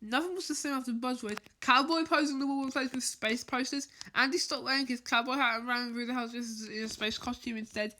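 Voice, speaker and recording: A woman reading a children's bedtime story aloud, haltingly, stumbling over and repeating words as she goes. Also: a little high, steady tone, bright, forceful tone. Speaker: storybook reader.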